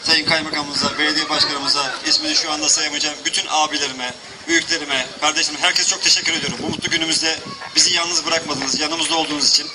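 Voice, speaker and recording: Man, cafe owner, quick grateful thanks, outdoor opening, loud crowd, heavy noise. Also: fairly high pitch, steady tone. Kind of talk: thank-you speech.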